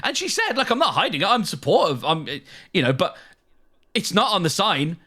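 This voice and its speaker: A British man co-hosting a podcast, explaining things casually in a halting way, often hedging and correcting himself. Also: high-pitched, very animated, sharp tone, husky. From United Kingdom. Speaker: podcast co-host.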